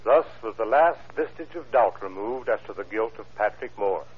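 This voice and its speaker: A male narrator reads old radio crime drama with solemn formality. His voice is lowish and husky, carried on a narrow, tinny recording. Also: lively intonation, moderate pace.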